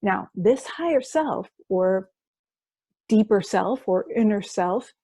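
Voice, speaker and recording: A woman's voice: medium pitch, animated, slow, warm tone, dry recording, studio quality. Enthusiastic woman explaining hypnosis sessions. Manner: enthusiastic, restarts phrases often. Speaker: quantum healing hypnosis practitioner, guest. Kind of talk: explaining her practice in an interview.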